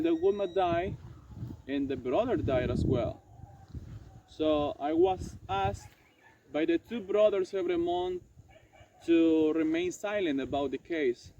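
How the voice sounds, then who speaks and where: A man playing a regretful French doctor and former prisoner in an amateur dramatized monologue, speaking haltingly and correcting himself. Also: fairly high pitch, mellow. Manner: halting, hesitant, self-correcting delivery. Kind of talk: amateur dramatized monologue retelling past events. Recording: dull lo-fi sound, faint noise.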